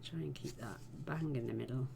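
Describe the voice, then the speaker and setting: A woman hosting a casual card-making tutorial, chatting in a homely way as she works, her voice a little croaky. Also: rumbling low, animated, brisk, background noise. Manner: chatty, homely, talks while working. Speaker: card-making channel host.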